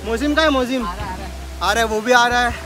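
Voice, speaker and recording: A man's voice: extremely high pitch, moderate pace. A young man, vlogging casually to a phone camera as he walks a forest trail and talks straight to his viewers. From Maharashtra, India. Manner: chatty, addresses viewers directly while walking a trail. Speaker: travel vlogger. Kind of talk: casual vlog narration to camera. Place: outdoor forest trail near a waterfall. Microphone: phone camera held while walking. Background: background music.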